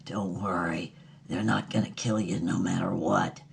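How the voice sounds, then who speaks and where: A woman's voice: very deep voice, fairly even intonation, measured pace, creaky, slightly rough, voice pushed down, exaggerated voice. A woman voicing a sleazy, scheming husband, a cynical and blustering hustler, in an audio drama. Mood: drunken babble.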